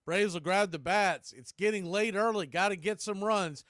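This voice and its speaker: A male American baseball announcer gives live play-by-play. His voice is smooth and resonant, slightly high for a man, and recorded dry with very little room around it. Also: normal speed, a bit bright, dry recording.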